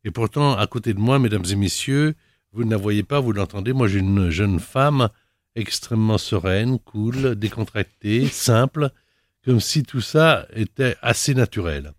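A man's voice: lowish voice, unhurried, dry recording, clean studio sound. A man hosting a radio interview, chatty and teasing with his guest. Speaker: radio host. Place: radio studio.

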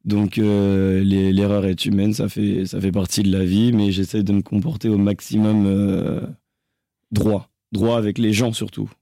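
A young man from France speaks as a singer being interviewed, pausing and restarting often as he searches for words. His low voice has a gravelly edge and a dark, mellow tone. The sound is dry, with no room around it. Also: little pitch movement, no room sound. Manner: halting, hesitant, many restarts. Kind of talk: interview answers.

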